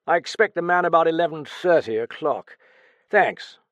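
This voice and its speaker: Picture a male reader doing an eager young Frenchman, rushing his words with excitement. The voice sits high and bright above the reader's own. It is close and dry. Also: dry recording.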